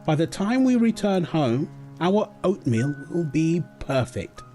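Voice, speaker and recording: A man reading as a curious, self-assured little girl in a bedtime storybook. The voice is lifted higher and stays mellow, with a sing-song, animated, childlike lilt. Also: a little slow, faint music behind.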